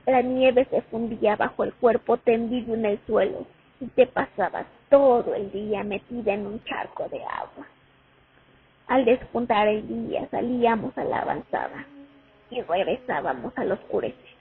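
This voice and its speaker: A woman's voice: on the high side, moderate pace, slight background noise, natural voice. A woman playing a young Soviet sniper haunted by her war memories in a radio play. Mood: weary, reflective. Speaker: actor in a student radio drama. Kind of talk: dramatic first-person monologue, radio play. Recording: muffled phone-like recording.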